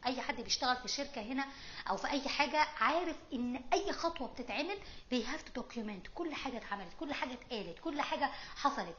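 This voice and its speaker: Egyptian woman explaining stuff super fast, bright sharp voice, chatty and emphatic. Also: sits high, muffled recording, a little hiss or hum. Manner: emphatic, conversational, mixes in English terms. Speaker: host of a political explainer video series. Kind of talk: informal explanatory commentary to camera.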